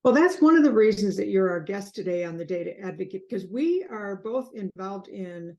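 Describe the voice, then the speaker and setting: A woman's voice: mid-range voice, animated, fairly quick, highs cut off. Woman hosting an interview. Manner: warm, complimentary, gently leading. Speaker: interview host. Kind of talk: video interview.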